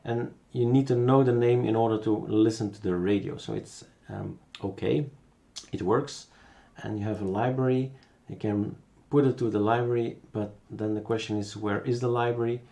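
A man with a Belgian accent giving a casual, unscripted software tutorial, thinking aloud as he works. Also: on the low side, fairly even intonation, moderate pace, vocal fry.

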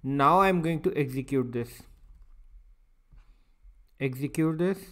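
Slow-paced man giving step-by-step instruction. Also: a little high, fairly bright tone. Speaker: software tutorial instructor. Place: voice-over for a screen recording.

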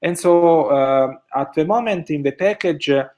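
A man's voice: fairly high pitch, a little slow, a little mellow. A middle-aged man answering audience questions on a video call, speaking hesitantly with many fillers and restarts. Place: indoors at home. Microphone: video call webcam.

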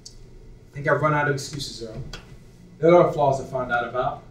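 A man's voice: medium pitch, fairly even intonation, moderate pace, natural voice. A young man on a small theater stage playing a shallow, smitten young man full of doubts, talking in an eager, earnest way. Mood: wistful. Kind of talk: live stage acting. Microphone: far room mic. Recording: roomy theater sound from far off.

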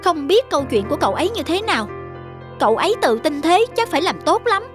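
A woman's voice: extremely high pitch, sing-song, fast. A woman voicing an anxious, insecure chubby schoolboy in a cartoon, fretful and self-questioning. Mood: anxious scheming. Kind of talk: cartoon dubbing. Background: prominent music.

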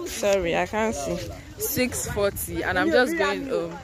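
A woman's voice: medium pitch, measured pace. A woman in her early twenties with a Nigerian accent, chatting casually and repetitively to her vlog, slipping into some Pidgin. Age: early 20s. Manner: informal, repeats herself, some Pidgin. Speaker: vlogger, student. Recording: phone recording with background noise and chatter.

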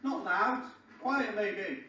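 High-voiced man speaking in an echoey hall. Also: bright, forceful tone. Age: middle-aged. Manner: joking, storytelling with deliberate pauses. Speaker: wedding speaker, best man. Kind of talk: comic wedding speech. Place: large function room, indoors. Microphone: far camera in the audience. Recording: echoey, muffled, distant, noisy crowd. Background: audience crowd and laughter.

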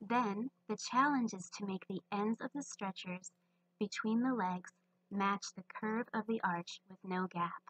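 A woman's voice: mid-range voice, a little slow, rough recording. A woman giving scripted instructional narration for a woodworking video.

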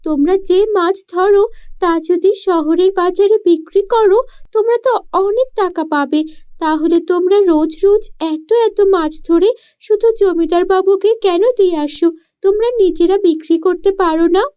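Woman voicing a high-pitched, spirited village girl. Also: normal speed, dull audio. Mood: puzzled questioning. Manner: earnest, protesting, questioning. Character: poor village girl, spirited, indignant, devoted daughter. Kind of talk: cartoon storybook character voice.

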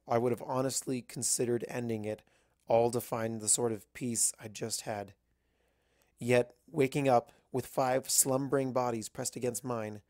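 A man narrates a piece of fan fiction in the first person. His voice sits at a medium pitch and is recorded dry, with very little room sound. The delivery is that of a scripted story reading. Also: a little mellow, no room sound.

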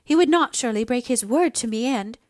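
A woman's voice: fairly high pitch, lively intonation, normal speed, dry recording, studio quality, voice pushed up. A woman voicing a frail, ailing mother in an audiobook, plaintive, anxious and easily displeased. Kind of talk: dramatised audiobook dialogue.

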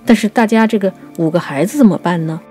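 A woman's voice: medium pitch, quick pace, mellow, faint music behind. A woman co-hosting a talk on poetry, explaining things in a reflective, conversational way. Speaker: podcast writer and co-host explaining poetry. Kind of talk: conversational literary discussion.

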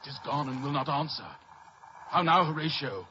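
An actor playing a wary, dramatic castle soldier in 1950s radio Shakespeare. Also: male voice, fairly high pitch, moderate pace, pushed and bright, slight background noise. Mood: uneasy. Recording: muffled 1950s radio broadcast.

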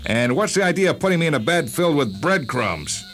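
A man's voice: on the high side, very animated, brisk, fairly bright tone, faint music behind. A man playing a cranky middle-aged store owner who grumbles and complains irritably in a radio-style story. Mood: irritable complaining. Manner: irritable, grumbling, hesitant starts.

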